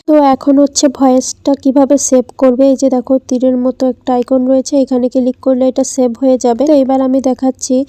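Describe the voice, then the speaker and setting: Female tutorial host: raised pitch, flat delivery, informal step-by-step explaining. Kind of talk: casual step-by-step screen tutorial narration.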